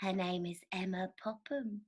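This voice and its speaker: A woman playing a jealous, sharp-tongued young parlour maid in a staged reading of a Victorian farce. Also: mid-range voice, fairly even intonation, moderate pace, close to an everyday voice. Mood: jealous, resentful.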